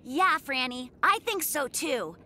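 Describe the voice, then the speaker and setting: A woman voicing an earnest, sensible little boy polar bear cub in a children's cartoon, speaking in a measured, thoughtful way. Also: sits high, animated, pushed and bright, slight background noise, exaggerated voice. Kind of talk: children's cartoon dub acting. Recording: faint music behind the voice.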